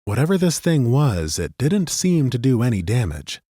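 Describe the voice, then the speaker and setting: A man narrating a fantasy audiobook in an expressive, scripted storytelling style. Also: medium pitch, moderate pace, no room sound, clean studio sound. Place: dry recording studio.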